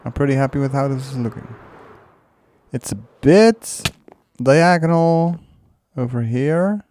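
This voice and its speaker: A man's voice: mid-range voice, expressive, unhurried, mellow, dry recording. A man whose first language is Dutch, casually commenting while he builds in a game, musing aloud and laughing often. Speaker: let's play creator.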